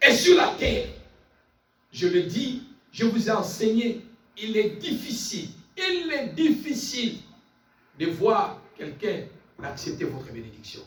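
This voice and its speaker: A male preacher with a very high-pitched voice delivers a fervent sermon with big swings in intonation. He is insistent and repeats phrases for emphasis. The sound is echoey and picked up at a distance from the mic. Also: big pitch swings, unhurried. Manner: calls on listeners for amens. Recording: echoey room, distant mic, faint music.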